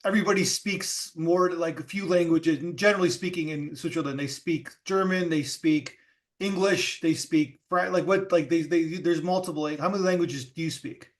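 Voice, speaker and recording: A male podcast host with a slightly high voice chats casually with his guest. His questions wander and restart often, loose and conversational. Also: steady tone, moderate pace. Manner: rambling questions with many restarts. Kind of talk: casual interview chat.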